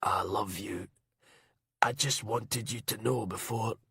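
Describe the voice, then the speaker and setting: A man's voice: fairly low pitch, fairly even intonation, slow, sharp tone, breathy edge, no room sound, studio quality, full character voice. Man doing a thin, fading wounded man. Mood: weak. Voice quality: thin, weak.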